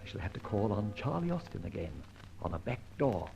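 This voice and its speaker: An actor playing a jaunty, cheeky gentleman rogue with theatrical delivery in an old-time radio serial. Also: lowish voice, big pitch swings, a little fast, vocal fry, slight background noise, low-quality recording. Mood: stealthy muttering. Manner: theatrical, breezy, muttering asides to himself.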